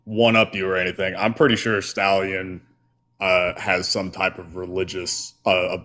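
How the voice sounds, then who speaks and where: Give me a slow-talking man with a low voice, rambling through casual co-host chat. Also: level tone, sharp tone. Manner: rambling, many fillers and restarts. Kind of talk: casual live stream chat.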